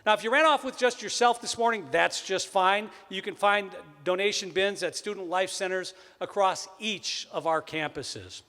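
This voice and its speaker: A man's voice: moderate pace, few pauses, bright, forceful tone. A man from Wisconsin with a Wisconsin accent, emceeing a college convocation with upbeat announcements and jokes. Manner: upbeat, joking, booming sports-announcer intro. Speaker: event emcee. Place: large hall. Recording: long hall reverb.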